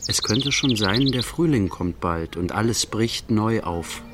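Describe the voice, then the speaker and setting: An actor playing a sober narrator in a literary radio play, speaking in short, measured declarative phrases. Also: male voice, lowish voice, expressive, fairly bright tone. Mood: lyrical observing. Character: sober male narrator observing an artist closely.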